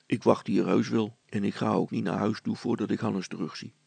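Brilstra, a man doing a cautious, gravelly worrier. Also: lowish voice, fairly even intonation, a little fast, darkish tone, dry recording, exaggerated voice. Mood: hesitant, resigned. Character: Brilstra, worried, hesitant man searching for his boy.

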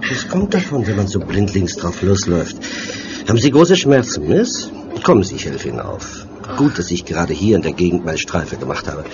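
Deep-voiced sinister fake policeman. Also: sing-song, normal speed, noisy background. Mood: solicitous. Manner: smooth, solicitous with menacing undertone. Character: sinister villain posing as helpful London policeman. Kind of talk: German radio play acting. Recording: dull sound with loud music behind.

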